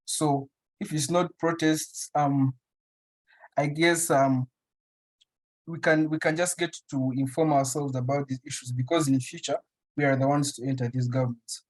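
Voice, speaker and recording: A man's voice: medium pitch, steady tone, normal speed, low-quality recording. A young man from Uganda, answering interview questions casually and thoughtfully, with frequent restarts and fillers. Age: young adult. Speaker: interview guest, writer.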